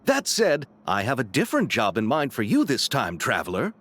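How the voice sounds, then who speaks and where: A man's voice: mid-range voice, wildly swooping pitch, normal speed, fairly bright tone. A man playing an enthusiastic, courteous, flamboyant film crew leader in a video game, with formal phrasing.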